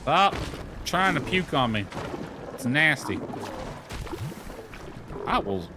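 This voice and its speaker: A man's voice: fairly high pitch, big pitch swings, takes it slowly, background noise. A man giving casual video game commentary, muttering to himself with mild grumbling and swearing.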